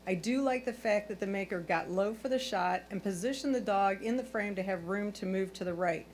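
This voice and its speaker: A woman's voice: medium pitch, fairly quick, darkish tone. A woman working as a photo competition judge, reading her own prepared critiques in a constructive, encouraging manner. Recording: clear background noise, hum.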